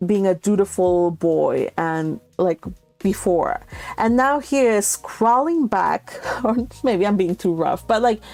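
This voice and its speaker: A woman's voice: a little high, a little mellow. A woman hosting a read-along, reading aloud and chatting informally with her listeners. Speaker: read-along host reading a classic novel and commenting. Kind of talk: storybook narration and casual livestream chat.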